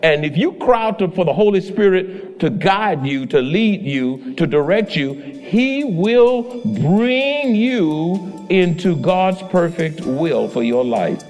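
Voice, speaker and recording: A male pastor preaching a sermon, swinging between loud exclamations and low asides. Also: expressive, slow, few pauses, faint music behind.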